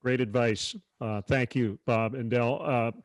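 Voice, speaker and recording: A man's voice: mid-range voice, fairly even intonation, a little slow. A man moderating a panel casually, passing questions along in short, unscripted phrases. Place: video call.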